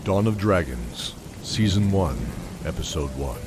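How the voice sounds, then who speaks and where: A man giving scripted narration for a fantasy radio drama in a steady, descriptive storytelling manner. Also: low-pitched, a little slow, background noise. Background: loud music.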